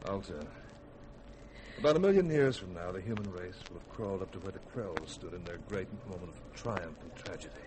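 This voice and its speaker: An actor playing a grave, steadfast spaceship commander in a 1950s radio drama, solemn and measured. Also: male voice, deep voice, lively intonation. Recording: noisy vintage radio recording.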